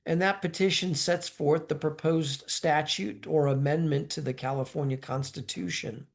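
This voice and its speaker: A man lecturing in an explanatory, semi-scripted style, measured and formal, with halting pauses in mid-phrase. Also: a little high. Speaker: lecturer explaining state law.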